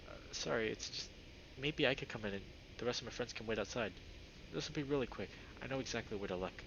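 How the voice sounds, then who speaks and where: A man reads a young male furry character from a visual novel. He is nervous and evasive, pleading politely in halting phrases. The mic sounds a little dull, with faint noise. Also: mid-range voice, lively intonation, gravelly.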